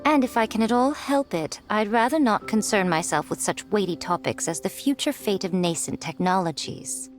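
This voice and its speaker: A woman's voice: mid-range voice, moderate pace, few pauses, lightly acted. A woman voicing a shrewd, teasing noblewoman in a video game, with an elegant, wry and formal manner. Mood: politely dismissive. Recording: music playing behind the voice.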